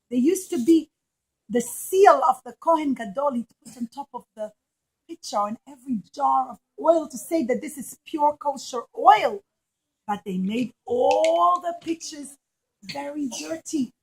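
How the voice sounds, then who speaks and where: Female children's storyteller, high voice, emphatic and repetitive delivery for a young audience. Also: a little mellow, poor audio. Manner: emphatic, repeats phrases for children. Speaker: children's storyteller and puppet show presenter.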